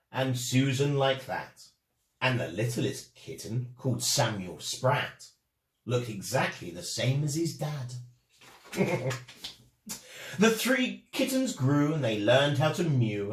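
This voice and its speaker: A man telling a rhyming children's story as an expressive storyteller. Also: mid-range voice. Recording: echoey room.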